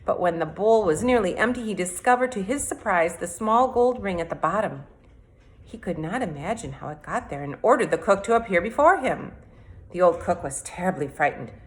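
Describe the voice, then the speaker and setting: A woman with a slightly low-pitched voice reads a storybook aloud. She narrates expressively, in the manner of a teacher sharing a fairy tale with a class. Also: lively intonation, brisk, poor audio.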